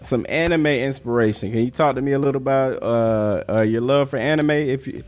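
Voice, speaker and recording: A man interviewing in a casual, informal chat, putting his questions haltingly with short affirmations. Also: mid-range voice, a little mellow.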